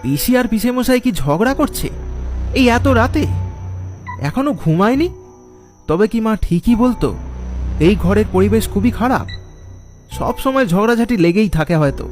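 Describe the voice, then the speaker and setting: A man's voice: sits high, lively intonation, normal speed, long pauses, darkish tone, soft background music. A man playing a frightened nephew, narrating a cartoon ghost tale in an anxious, suspenseful, dramatic way. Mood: uneasy.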